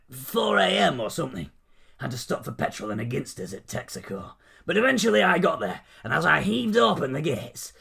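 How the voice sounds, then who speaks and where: A man voicing a gruff, brash, boozy middle-aged uncle in an audiobook comedy, with a raspy, gravelly voice and blunt, slangy talk. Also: sits high, sharp tone, full character voice.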